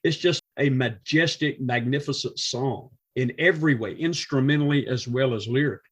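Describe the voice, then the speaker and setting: A middle-aged man with a slightly rough, husky voice at medium pitch talks casually as a podcast guest. He is enthusiastic about music and repeats words to stress them. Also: normal speed, fairly bright tone, muffled recording. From United States.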